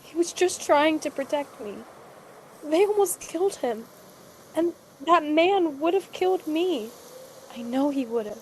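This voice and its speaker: Woman acting a frail, high-voiced, despairing girl. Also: lively intonation, darkish tone, slight background noise, low-quality recording. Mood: traumatized, halting. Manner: halting, faltering, plaintive speech. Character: exhausted, frightened girl crossing a desert, despairing and fragile. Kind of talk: cinematic audio drama acting.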